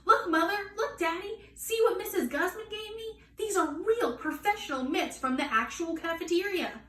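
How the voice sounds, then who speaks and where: Excitable storybook schoolgirl, voiced by a woman: high, emphatic, boastful, recorded at a distance with faint noise. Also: normal speed, reverberant. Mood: excited, showing off. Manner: gushing, emphatic, childlike grammar.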